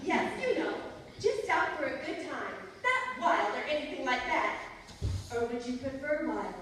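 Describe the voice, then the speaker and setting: A woman's voice: high voice, sing-song, unhurried. A woman playing a scatterbrained, chatty wife in an old-time radio comedy, earnest and muddling her words. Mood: eager storytelling. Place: college radio theatre stage performance. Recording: echoey hall from far off, faint noise.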